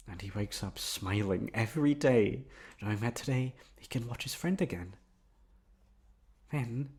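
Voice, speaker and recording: Guy doing a lovesick yandere young man for asmr, lowish voice, intimate and coaxing, repeats himself obsessively. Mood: creepy, coy. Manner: soft-spoken coaxing, drawn-out words, emphatic repetition. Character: obsessive lovesick young man confessing to his best friend. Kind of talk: intimate ASMR roleplay storytelling.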